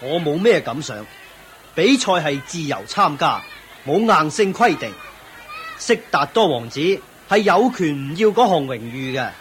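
An actor playing a dutiful court official in a radio drama, formal, declamatory and deferential. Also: male voice, on the high side, big pitch swings, measured pace, a bit bright, slight background noise. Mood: measured, worried. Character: dutiful court official announcing royal contest results.

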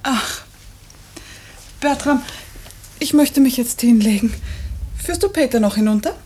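An actress playing a troubled, evasive wife in a 1950s radio drama, speaking softly and hesitantly with a husky voice. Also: female voice, high voice, lively intonation, unhurried, a little hiss or hum. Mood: subdued, weary. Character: troubled, evasive wife hiding her illness and fear.

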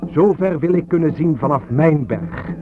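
A man's voice: fairly high pitch, normal speed, natural voice. Man acting a defiant young student, phone-like radio sound. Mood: insistent. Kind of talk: Dutch radio drama acting, declaimed monologue and dialogue. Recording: phone-like old radio play, music behind.

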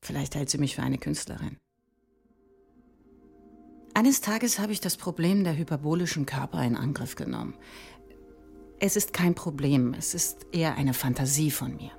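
Low, creaky-voiced musing woman mathematician. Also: normal speed, pauses often, a little mellow, soft background music. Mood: reflective. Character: dreamy female Iranian mathematician, a mother, musing and imaginative. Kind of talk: radio play monologue.

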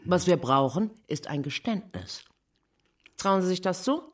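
A wry police investigator played by a male actor in a crime drama. The voice is on the high side and very lively in intonation, matter-of-fact yet needling. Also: unhurried. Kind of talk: German crime radio drama acting.

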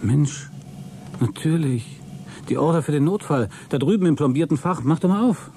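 Exasperated astronaut, mellow voice. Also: male voice, fairly high pitch, animated, moderate pace, a little hiss or hum. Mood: tense. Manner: commanding radio calls, curt irritable retorts. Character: astronaut Walter, exasperated space shuttle crewman in stubborn denial. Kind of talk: German radio play acting.

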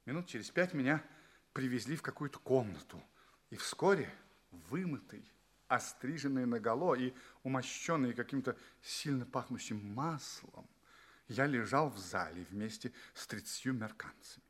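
A man's voice: medium pitch, expressive, moderate pace, fairly bright tone. An actor playing an anxious, bewildered space traveller in an audio play, politely asking questions and telling his tale theatrically. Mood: narrating.

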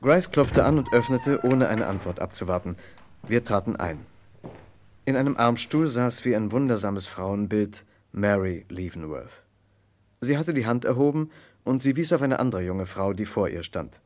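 A man playing the observant narrator of a German radio crime play, telling the story in a measured, literary way. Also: on the low side, expressive, a little mellow, a little hiss or hum, natural voice. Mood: measured storytelling. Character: observant male first-person narrator of a detective story.

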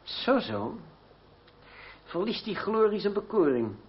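An actor playing a proud, cool young gentleman fencing master in a radio play, speaking formally with measured irony. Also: high voice, sing-song, full character voice. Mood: sardonic. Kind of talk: Dutch radio play acting. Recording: dull, noisy old radio recording.

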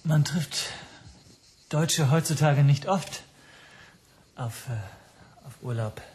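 An actor playing a nervous Hungarian teacher on holiday, making halting, tentative small talk in a radio drama. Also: male voice, a little high, darkish tone, breathy edge.

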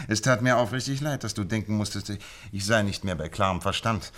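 A male actor playing a shrewd, self-assured gentleman detective in a German radio drama, setting things out eloquently and methodically. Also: on the low side, fairly quick, natural voice. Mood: animated recounting. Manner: eloquent, methodical explaining with theatrical emphasis.

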